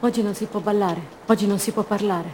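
An actress playing an impulsive, fragile woman madly in love, in an intense, poetic radio theatre monologue full of repeated phrases that swings between rapture and hush. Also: mid-range voice, fairly even intonation, a little fast, a little hiss or hum, lightly acted. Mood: sad, urgent.

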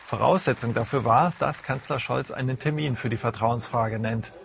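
A man with a standard German accent reading a scripted news bulletin in a formal, measured way. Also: mid-range voice, creaky. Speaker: news reader.

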